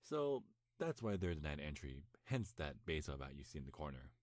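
A young man playing a nervous, lovestruck best friend in an intimate ASMR roleplay, speaking earnestly with a halting stammer. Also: a bass voice, very animated, darkish tone, dry recording, clean studio sound. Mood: nervous, flustered.

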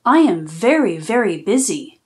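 An earnest, affectionate male viper from a children's storybook , read by a woman. He sounds apologetic and expressive, with a very lively swing in pitch. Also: a little slow. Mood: busy, apologetic.

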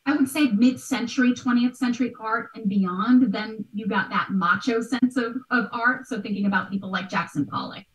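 A woman's voice: fairly high pitch, moderate pace, few pauses. An American woman, around her thirties, explaining things conversationally as a podcast guest, with emphasis on key words. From United States. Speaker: podcast guest, art history expert. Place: small bright room at home. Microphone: video call. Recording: roomy video-call sound.

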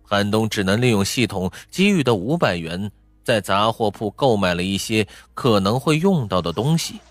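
A man narrates an audiobook in a low voice with widely varied intonation. The delivery is scripted and dramatic, recorded dry with faint music underneath. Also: very animated, normal speed, dry recording. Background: faint background music.

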